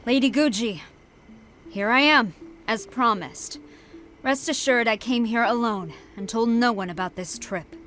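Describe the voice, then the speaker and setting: A dutiful, uneasy young woman in a game scene. Speech is formal and respectful in a slightly high voice, with faint music. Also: measured pace, fairly bright tone. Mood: solemn nervous. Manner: formal, deferential. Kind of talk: video game cutscene voice acting.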